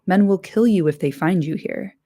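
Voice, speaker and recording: A woman voicing a gentle young male hobbit, anxious, soft urgent pleading, raised pitch, storybook reading. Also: normal speed.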